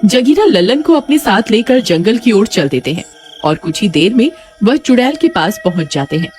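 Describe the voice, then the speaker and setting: A woman's voice: medium pitch, expressive, normal speed, a little mellow, faint music behind. A woman narrating a scripted spooky comic story as storyteller. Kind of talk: scripted children's horror-comedy story narration.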